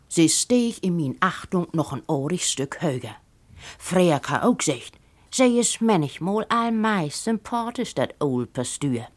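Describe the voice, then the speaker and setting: Woman, Low German accent from the north, somewhat low voice, expressive literary first-person storytelling.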